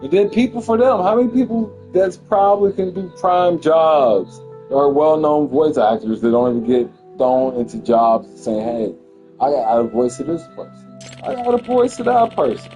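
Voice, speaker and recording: A man's voice: fairly high pitch, animated, moderate pace, warm tone, faint music behind. Laid-back young man chatting over a game. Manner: laid-back, swears casually, rambling. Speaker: gamer playing on stream. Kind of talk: casual chat while gaming.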